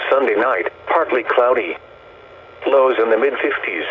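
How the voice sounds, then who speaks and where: A narrow, tinny radio signal with faint hiss carries a synthetic man's voice of medium pitch. He reads scripted forecasts as an announcer, with impersonal, carefully segmented phrasing. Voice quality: synthetic text-to-speech voice. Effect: weather radio broadcast. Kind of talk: scripted weather bulletin read-out.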